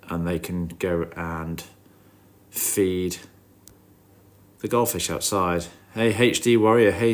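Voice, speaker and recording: A man with a nasal, stuffed-up voice from a cold, chatting informally on a livestream and muttering asides to himself. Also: deep voice. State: has a head cold. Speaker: livestream host. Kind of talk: casual livestream chat, greeting viewers while looking over fish tank.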